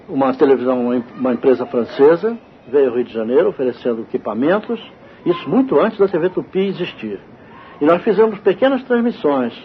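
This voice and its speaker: An older man with a Brazilian accent, reminiscing in an unscripted interview with halting repeats and asides. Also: on the high side. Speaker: veteran radio man interviewed. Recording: dull, noisy old radio interview.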